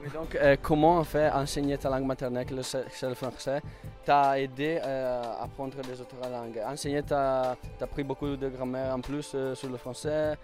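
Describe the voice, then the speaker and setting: A man's voice: mid-range voice, level tone, moderate pace, darkish tone, faint music behind. A man hosting a casual interview outdoors in a town, upbeat and jokey, with many fillers. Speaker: interviewer, channel host.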